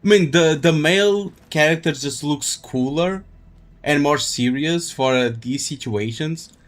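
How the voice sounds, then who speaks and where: A man's voice: a little high, measured pace. A man doing casual livestream commentary on a game, with a crude, slangy, joking manner.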